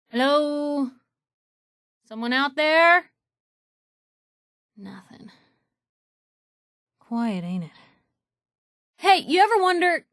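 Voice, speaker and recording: A woman's voice: a little high, no room sound, studio quality, exaggerated voice. An actress playing a plucky, outspoken young woman reporter, a video game companion with a wry, folksy, punchy delivery. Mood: wary, hushed. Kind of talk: video game voice acting, short reactive lines.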